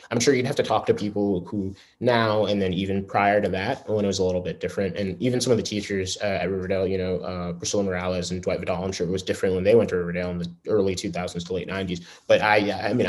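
A young man talks quickly in a lowish voice, rambling and restarting as he reflects in a casual interview. His delivery is earnest and loose. He piles clauses together rather than speaking in polished sentences. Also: darkish tone. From New York. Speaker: interviewee, school alumnus.

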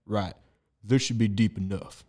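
A man's voice: on the low side, fairly even intonation, a little slow, darkish tone, a little hiss or hum, lightly acted. A man playing a stern, practical frontier survivor with a curt, authoritative manner in an audio drama. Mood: stern, guarded. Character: stern, practical Wild West man, wary protector. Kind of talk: amateur audio drama acting.

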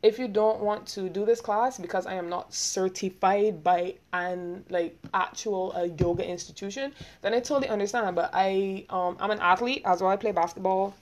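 A young woman with a Barbadian accent talking quickly and rambling, hosting a casual solo podcast and laughing often. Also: mid-range voice. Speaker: podcast host.

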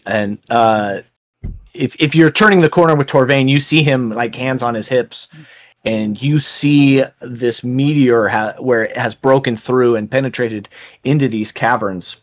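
A man's voice: medium pitch, a little slow. A man narrating a tabletop game as game master, describing scenes with frequent fillers and restarts.

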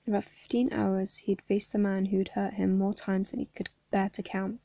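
A young woman, hoarse from hay fever, reading a story aloud and chatting casually between chapters. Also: medium pitch, flat delivery, brisk, mellow, no echo, dull audio. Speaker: fan fiction reader.

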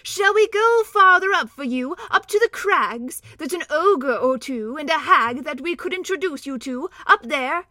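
Brief: a woman playing a sly dwarf, high and wheedling. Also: lively intonation, measured pace, hardly any pauses, bright, forceful tone, no echo, clean studio sound, heavily acted.

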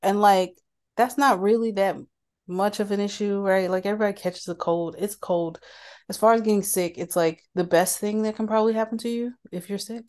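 A woman co-hosting a podcast in a casual chat, talking about her cold, animated and laughing often. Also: medium pitch, brisk. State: has a cold. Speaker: podcast co-host.

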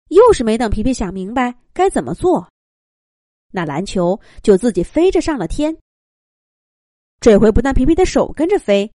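A woman telling a children's story with gentle, expressive narration. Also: high voice, no echo, clean studio sound.

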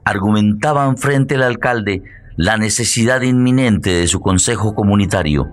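A man with a Colombian accent presenting a radio theatre programme as host, in courteous, measured phrases. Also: fairly low pitch.

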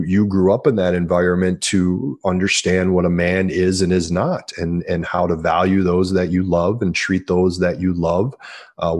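Deep-voiced guy in his 40s, author being interviewed, earnest, stumbles and repeats words. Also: a little mellow. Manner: earnest, repeats words when starting sentences. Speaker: author guest. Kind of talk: interview answers.

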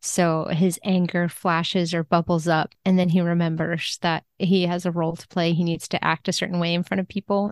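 A woman chatting casually as an interview guest, telling things in an animated way and acting out quoted thoughts. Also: fairly low pitch, dry recording, clean studio sound. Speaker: podcast guest, book translator.

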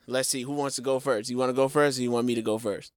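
A man co-hosting a podcast in casual chat, lively and speaking straight to viewers with slang. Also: mid-range voice, fast. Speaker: podcast co-host.

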